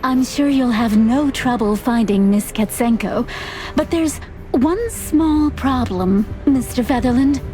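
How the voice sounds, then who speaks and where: A refined lady client acted by a woman in a noir video game. Her voice is fairly high, courteous and a little coy, with music clearly playing underneath. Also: unhurried, warm tone, lightly acted. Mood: polite, coy. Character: polite, refined female client in noir mystery. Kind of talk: scripted noir game dialogue. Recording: music behind the voice.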